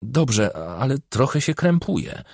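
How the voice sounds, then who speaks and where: A man's voice: medium pitch, big pitch swings, measured pace, vocal fry, darkish tone, husky, dry recording, clean studio sound, pitched above the performer's own voice, full character voice. A man voicing a troubled, discontented young woman with a complaining, pleading manner in an audiobook. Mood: hesitant.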